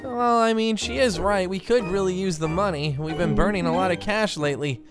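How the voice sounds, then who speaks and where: A woman's voice: fairly low pitch, a little fast, close to an everyday voice. A woman voicing a gullible, easygoing dad in a game roleplay, hesitant and stammering. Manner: hesitant, stammering, easily swayed. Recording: music playing behind.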